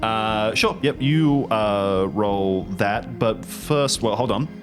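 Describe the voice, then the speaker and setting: A man improvising narration as game master of a tabletop game, in a hesitant, halting way. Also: fairly low pitch, over music.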